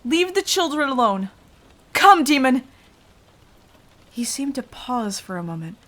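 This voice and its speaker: A woman's voice: high voice, big pitch swings, full character voice. A woman playing a frightened, self-sacrificing young victim, telling of a vampire attack as dramatic ASMR roleplay. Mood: desperate pleading. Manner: hushed, dramatic, deliberate storytelling.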